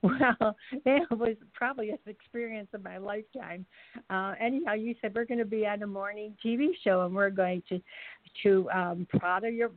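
Older lady chatting and reminiscing, kinda halting, dry phone-call sound. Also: medium pitch, no echo. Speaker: podcast guest, mother of the host. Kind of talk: casual anecdotal storytelling. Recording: thin phone-like line.

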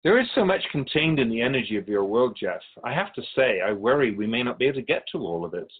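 A man playing a wise, gentle, cryptic otherworldly keeper of souls in a radio drama, speaking in a measured, courteous, philosophical way. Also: medium pitch, big pitch swings, husky, phone-quality sound. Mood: concerned.

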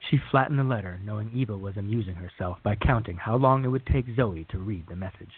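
A man's voice: fairly low pitch, quick pace, husky. A man reading scripted story narration for a radio drama. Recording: muffled, phone-like narrow-band audio.

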